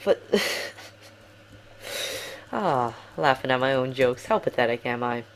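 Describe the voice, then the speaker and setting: A man's voice: mid-range voice, a bit bright, a little hiss or hum. A young man giving casual game commentary at home, rambling and apologizing often, poking fun at himself. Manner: rambling, apologetic, self-deprecating. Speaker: gaming commentator.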